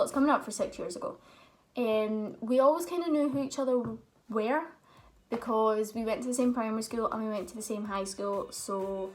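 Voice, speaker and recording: A woman's voice: a little high, poor audio. A young woman, chatting openly and telling stories in a casual question-and-answer vlog. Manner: chatty, open storytelling with fillers. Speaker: vlogger.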